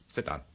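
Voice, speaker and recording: An actor playing a stern, haughty senior police chief, speaking in a cold, clipped, imperious manner in a radio drama. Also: male voice, sharp tone, full character voice. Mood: interrogating. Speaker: police commissioner figure.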